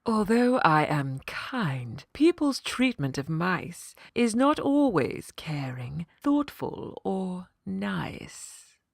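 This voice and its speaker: A grand lady cat from a children's story, played by a woman, canny and stern but kind underneath. She speaks slowly and firmly in a fairly low female voice, with a close, dry sound. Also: big pitch swings, vocal fry, no echo, exaggerated voice. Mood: severe. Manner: grand, firm, measured.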